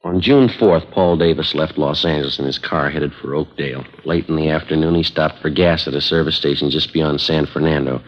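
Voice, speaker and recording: A man playing a methodical, no-nonsense American police detective sergeant in a 1950s radio drama, speaking in clipped, deadpan, factual phrases. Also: rumbling low, fairly quick, hardly any pauses. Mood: firm, factual. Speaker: lead actor and narrator of a police radio drama. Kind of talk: 1950s radio acting, matter-of-fact procedural narration. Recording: muffled 1950s radio broadcast recording, music.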